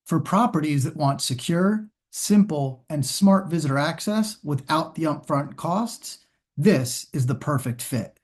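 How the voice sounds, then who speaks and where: A man presenting scripted sales training in a polished, instructional, measured way. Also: fairly high pitch, expressive, husky. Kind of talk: scripted corporate training narration.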